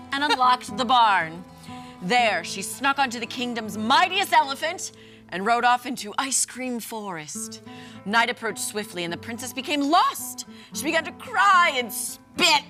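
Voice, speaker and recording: A woman's voice: on the high side, bright, forceful tone. A woman co-hosting a TV show, chatting casually and reading a storybook tale aloud, with music playing behind. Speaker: TV show co-host.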